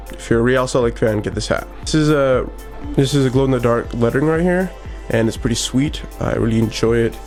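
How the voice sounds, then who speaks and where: A young adult man hosting a casual vlog from his small home office studio, talking in an upbeat, rambling way with many fillers and restarts. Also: medium pitch. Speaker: vlogger.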